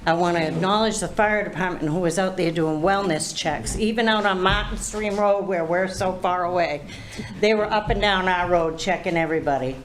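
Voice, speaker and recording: A woman speaking loudly and bluntly during public comment at a town council meeting. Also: fairly low pitch, moderate pace, a little hiss or hum. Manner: loud, blunt and emphatic.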